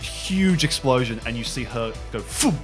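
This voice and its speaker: A man running a tabletop game as game master, improvising descriptive narration in a halting way. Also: medium pitch, lively intonation, over music.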